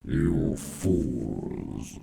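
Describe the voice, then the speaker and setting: Slow gravelly monster voice, deep, menacing, as a man puts it on. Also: expressive, mellow, heavily acted. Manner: slow rumbling gravelly growl. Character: huge evil monster, gloating and menacing.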